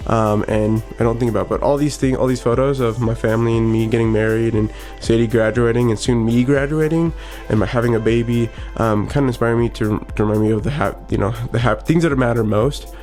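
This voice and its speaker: A man's voice: mid-range voice, fairly quick, few pauses, a little mellow, soft background music. A young adult man hosting a casual vlog from his small home office studio, talking in an upbeat, rambling way with many fillers and restarts. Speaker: vlogger.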